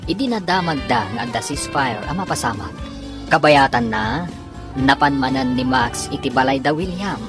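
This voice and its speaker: A woman with a low voice narrates a radio serial over clear background music. Her scripted delivery is dramatic and swings widely in pitch. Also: lively intonation, moderate pace.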